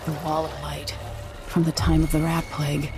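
A woman's voice: low voice, monotone, normal speed, over music, close to an everyday voice. An actress voicing a resolute, guarded woman infiltrator in a video game, making terse, grave remarks.

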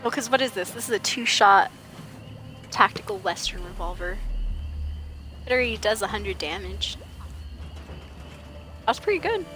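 A woman's voice: medium pitch, animated, normal speed, pauses often, bright, forceful tone, soft background music. Chatty young woman streaming a game. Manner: chatty, thinking aloud while sorting loot. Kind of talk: casual gameplay commentary. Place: home gaming setup, indoors.

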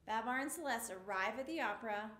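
A woman in her thirties reading a picture book aloud to young children, with expressive exclamations, in a small studio. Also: a little high, fast talker, roomy sound. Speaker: storytime reader. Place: small indoor studio with a rainbow mural.

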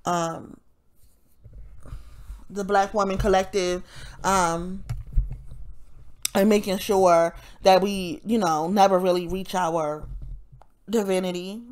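A woman's voice: mid-range voice, steady tone, takes it slowly, long pauses, darkish tone. A woman talking straight to her viewers in a loose, rambling vlog. Her voice is nasal and stuffed up from congestion, and her speech is peppered with profanity and short bursts of laughter. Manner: profane, rambling, frequent self-interrupting laughs. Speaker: vlogger. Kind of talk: casual rambling monologue.

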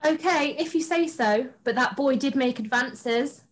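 A woman's voice: sits high, a little slow. A young woman playing a coy but defiant princess in an amateur pantomime read-through, prim and declaiming. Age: young adult. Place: indoors at home, on a video call.

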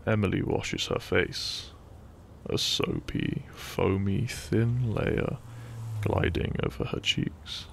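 A man narrating a gentle sleep-aid story in a soothing, hushed way, lingering between short phrases. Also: deep voice, takes it slowly, gravelly, slight background noise. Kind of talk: soft, gentle bedtime story narration, ASMR-like.

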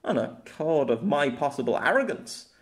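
A man voicing a smooth-talking, courteous knight with a formal manner in a fantasy story. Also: fairly high pitch, sing-song, moderate pace. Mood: apologetic. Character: courteous, flowery young lady's knight, smooth-talking gentleman.

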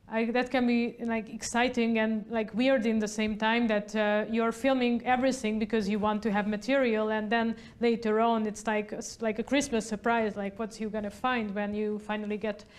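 A young woman interviewing a guest in a small indoor studio on a lapel mic, chatty with many fillers, trailing off softly at the ends of her lines. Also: on the high side, clean tone. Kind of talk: festival interview.

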